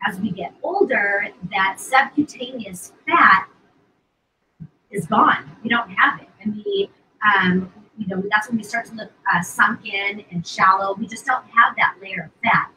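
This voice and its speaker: A woman in her fifties pitching skincare on a live stream, chatty, with plenty of fillers and restarts. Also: measured pace, bright, forceful tone. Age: 50s. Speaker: co-host of a live sales stream. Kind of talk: casual live product pitch. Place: indoor studio set at a table. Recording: distant mic with faint hiss.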